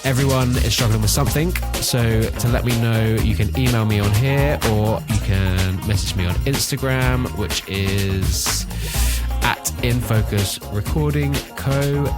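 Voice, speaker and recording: Male podcast host, slightly low and congested voice, music behind him. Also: unhurried. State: congested with hay fever. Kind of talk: conversational podcast hosting.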